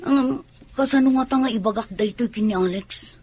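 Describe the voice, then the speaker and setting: A woman's voice: a little high, normal speed, a little mellow, a little hiss or hum, natural voice. A Filipino actress playing a brash, scheming young tomboy woman in a radio soap opera. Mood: pleading.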